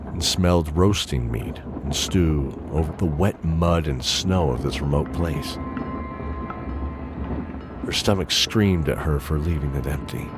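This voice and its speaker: Deep-voiced man narrating dramatic fantasy. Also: background noise. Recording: loud music under the voice.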